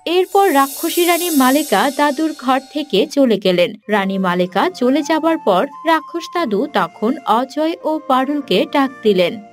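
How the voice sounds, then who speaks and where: Woman narrator, high pitch, scripted fairy-tale storytelling, faint music bed. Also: animated, a little slow.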